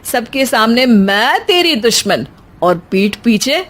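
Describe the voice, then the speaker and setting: A woman's voice: fairly high pitch, big pitch swings, takes it slowly, noisy background. A woman voicing an exasperated young husband who scolds and teases his family in a comedy story. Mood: sly, teasing. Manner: scolding, teasing.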